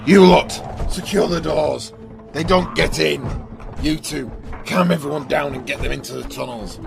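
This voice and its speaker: An actor playing a smug, menacing old villain who drawls and taunts in an audio drama, with a gravelly voice. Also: male voice, a little high, moderate pace. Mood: commanding. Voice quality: gravelly, creaky. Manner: drawling, sardonic, commanding. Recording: dramatic music bed behind voice.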